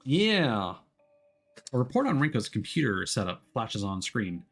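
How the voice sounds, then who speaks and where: A man's voice: mid-range voice, sing-song, unhurried, a bit bright, husky, faint music behind. A man streaming a game, switching between reading narration aloud and casual commentary.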